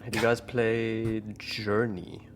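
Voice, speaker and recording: A man's voice: on the low side, level tone, slow pace, music in the background. A man chatting casually with friends as a player on a tabletop gaming podcast. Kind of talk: casual chat among friends.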